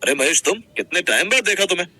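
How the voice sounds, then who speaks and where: A man's voice: sits high, lively intonation, fast talker, bright, forceful tone, heavily acted. A man playing a friendly young restaurant manager in a Hindi cartoon story, explaining things in a casual, businesslike way. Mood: surprised, friendly.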